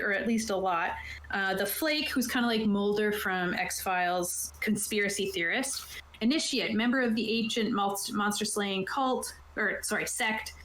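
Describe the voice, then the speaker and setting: A woman's voice: mid-range voice, fairly bright tone. Woman game master in an echoey room. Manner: informal, jokey asides. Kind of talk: casual chat explaining a game setup. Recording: roomy, echoey sound.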